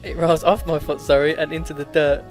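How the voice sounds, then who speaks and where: A man's voice: fairly high pitch, level tone, fairly quick, over music. A man hosting a let's play, reading a visual novel aloud with stumbles, self-corrections and laughs.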